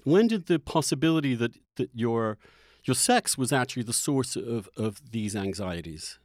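Male podcast host, mid-pitched voice, close dry recording, halting conversational delivery with emphatic key words. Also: animated, a little mellow, dry recording. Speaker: host interviewing guests. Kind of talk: conversational podcast introduction and interview.

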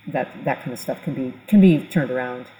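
A woman's voice: a bass voice, brisk, mellow, poor audio. A woman explaining policy conversationally as an expert panelist on a podcast.

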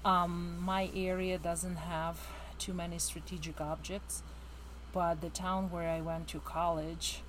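A woman from central Ukraine, a guest in an interview, explaining things in a measured way. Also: fairly low pitch, little pitch movement, slight background noise, low-quality recording. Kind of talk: casual interview conversation.

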